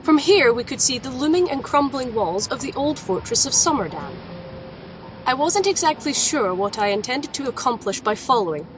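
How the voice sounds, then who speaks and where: A woman's voice: sits high, expressive, moderate pace, faint music behind, poor audio. A woman playing a watchful female alchemist in a dramatic fantasy audio drama. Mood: measured, reflective. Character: watchful female alchemist recounting her quest. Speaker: narrator and lead character. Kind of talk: dramatic first-person audio drama narration.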